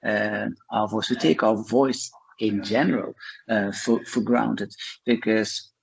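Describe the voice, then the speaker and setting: A man from Belgium with a Flemish accent, a Dutch speaker, talking as a guest in an interview, pausing often with fillers. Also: on the low side, fairly even intonation, creaky, poor audio. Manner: hesitant, many uh and um fillers. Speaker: guest, charity founder. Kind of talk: conversational interview.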